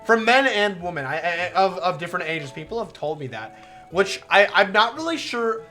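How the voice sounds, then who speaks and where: A woman streamer chatting casually while playing a visual novel, rambling and swearing, with a soft, relaxing voice. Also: fairly low pitch, lively intonation, moderate pace, faint music behind. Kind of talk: casual livestream commentary over a visual novel.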